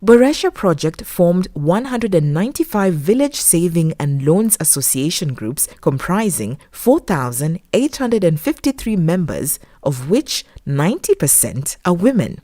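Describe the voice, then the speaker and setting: A woman reading a scripted documentary narration in a measured, formal manner. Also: fairly low pitch, very animated, dark tone, no echo, studio quality. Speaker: documentary narrator, voice-over artist.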